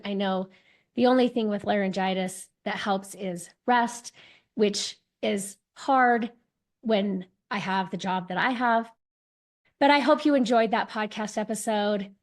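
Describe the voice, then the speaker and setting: A woman hosting a podcast, her voice hoarse and worn from laryngitis. She speaks to her listeners in an encouraging, earnest way, at an ordinary middle pitch. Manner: warm, encouraging appeal to listeners. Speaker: podcast host.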